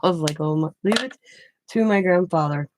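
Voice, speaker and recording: A woman's voice: fairly low pitch, brisk, no room sound. A woman from the Midwest, chatting casually and rambling while she crafts, laughing often. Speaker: craft vlogger.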